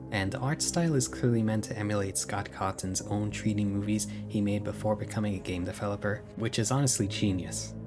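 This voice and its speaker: A man narrating a video essay in a casual, opinionated way. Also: fairly low pitch. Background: music.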